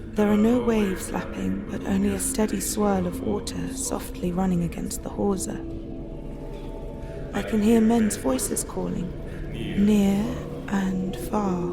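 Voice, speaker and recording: Slow, creaky-voiced entranced Victorian lady. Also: medium pitch. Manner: slow, dreamy, trance-like delivery. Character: entranced Victorian woman, gentle, dreamy, speaking under hypnosis. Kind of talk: audio drama acting.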